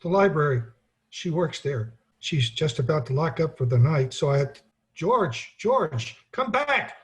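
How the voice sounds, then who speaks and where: An actor playing an earnest, apologetic, bumbling elderly guardian angel in an old-time radio play. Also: male voice, fairly high pitch, lively intonation, a little slow. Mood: flustered, earnest.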